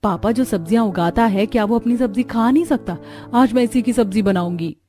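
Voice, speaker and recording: High-voiced sweet young village bride acted by a woman. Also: fairly quick, warm tone, soft background music, lightly acted. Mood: cheerful. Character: sweet, eager young village bride who loves vegetables. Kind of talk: cartoon story voice acting.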